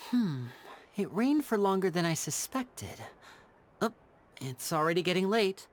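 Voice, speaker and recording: A woman's voice: lowish voice, big pitch swings, measured pace. A woman playing a charming young male stage magician and caring older brother in an anime game, polite and theatrical. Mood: composed.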